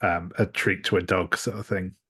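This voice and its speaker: A man's voice: low voice, level tone, vocal fry, sharp tone, husky. A man playing a tabletop roleplaying game, describing his character's actions casually and hesitantly. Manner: hesitant, halting.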